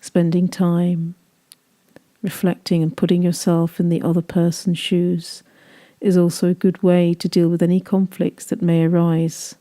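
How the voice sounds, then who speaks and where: A woman leading a guided meditation, speaking gently in soothing, unhurried phrases that trail off softly. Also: fairly low pitch, completely flat delivery.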